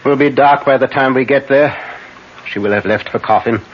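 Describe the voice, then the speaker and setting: An actor playing a grave, commanding older professor who hunts vampires in a classic radio horror drama, speaking solemnly with emphatic dramatic pauses. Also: male voice, mid-range voice, close to an everyday voice. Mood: grim, resolute. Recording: dull, noisy vintage radio broadcast.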